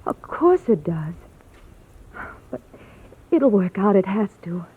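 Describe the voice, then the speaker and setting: Brief: devoted sweetheart, very slow and breathy, anxious sincerity, radio melodrama, tinny sound. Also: female voice, mid-range voice, very animated, full character voice. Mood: tender, worried. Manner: hesitant, sincere. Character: tender, anxious, loyal sweetheart. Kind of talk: 1940s-50s radio drama acting. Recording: tinny old radio recording.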